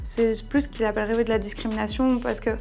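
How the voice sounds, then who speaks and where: A woman's voice: on the high side, fairly even intonation, a little fast, gravelly, darkish tone, soft background music. A woman in her early twenties talking frankly and informally in slangy in a casual group discussion. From Belgium, small town. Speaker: student panelist in a discussion.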